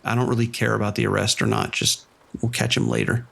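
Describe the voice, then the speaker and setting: A man playing a dry, cautious vice sergeant in a police radio drama, giving orders with deadpan authority. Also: on the low side, fast, vocal fry, breathy edge, full character voice. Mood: cautious, instructive.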